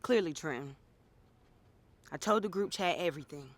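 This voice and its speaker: A woman playing a wounded, quietly resentful girlfriend in a poetic, deliberate dramatic monologue. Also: low-pitched, no echo. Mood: wounded, confessional. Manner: poetic, deliberate, emotional delivery. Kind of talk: dramatic spoken-word monologue. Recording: music playing behind.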